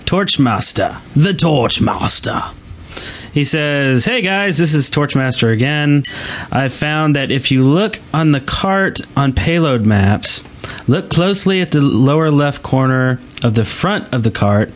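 A man's voice: a little high, animated, a little slow. A man co-hosting a gaming podcast in casual chat and reading out listener mail.

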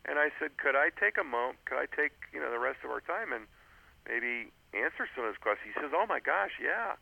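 A man's voice: medium pitch, expressive, a little fast, vocal fry. A man who is an author and guest on a radio interview, telling a story earnestly with frequent "uh"s and restarts over a phone line. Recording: tinny phone line, dry.

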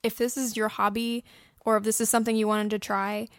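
A woman's voice: medium pitch, little pitch movement, fairly quick, no echo, studio quality. A young woman co-hosting a podcast, giving casual, earnest and encouraging advice. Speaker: podcast host and audio drama producer. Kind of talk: casual how-to podcast talk.

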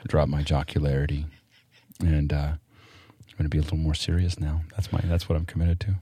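A man's voice: very deep voice, breathy edge, no room sound. A man co-hosting a podcast in casual, joking banter. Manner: joking, quick, animated. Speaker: co-host.

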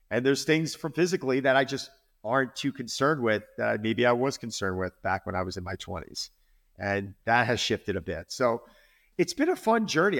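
A man's voice: mid-range voice, a bit bright. A man in his forties, a fitness trainer, speaking earnestly in a podcast interview and often repeating words as he makes his point. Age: 40s.

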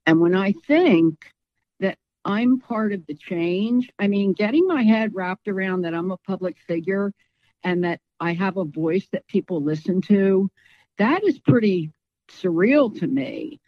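A woman's voice: medium pitch, expressive, unhurried, no room sound. A woman speaking as an interview guest in a conversational, outspoken and emphatic way, with slightly lo-fi sound.

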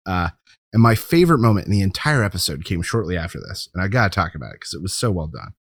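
A man's voice: deep voice, sing-song, fast, dry recording. A man co-hosting a podcast, chatting casually and enthusiastically about a TV show. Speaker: podcast co-host.

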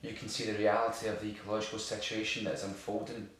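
An actor playing an intense eco-militant, gravely and insistently persuasive in an audio drama. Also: male voice, on the low side, flat delivery, fast talker, a bit bright, close to an everyday voice. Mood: intense, urgent. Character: intense, forceful eco-militant man, zealous and conspiratorial. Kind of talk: naturalistic audio drama dialogue. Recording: echoey, distant, noisy room recording.

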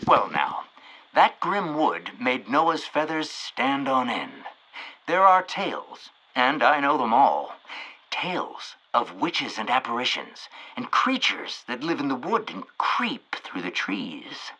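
An actor playing a folksy, knowing narrator who tells a storybook tale with ominous suspense in an audio drama. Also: male voice, medium pitch, sing-song, slow pace, bright, forceful tone, full character voice, rough recording.

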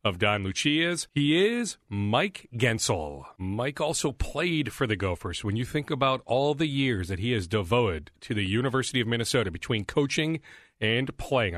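A middle-aged man talks through his podcast in a chatty, emphatic way, pressing down on key words. His voice has only just come back after being lost. The sound is close and dry, at a middle pitch for a man. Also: a bit bright, no echo. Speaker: podcast host. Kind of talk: casual solo podcast talk.

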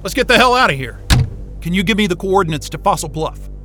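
A high-pitched, frantic, foul-mouthed fugitive. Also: male voice, expressive, quick pace, over music. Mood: hurried, determined. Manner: profane, urgent, exclaiming. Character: frantic foul-mouthed man fleeing in a snowcat. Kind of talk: audio drama acting.

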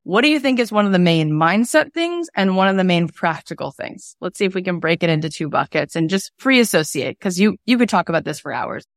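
A woman's voice: fairly low pitch, expressive, fairly quick, hardly any pauses, no room sound, studio quality. A woman hosting a podcast interview, asking guiding questions in an enthusiastic tone. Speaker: podcast host.

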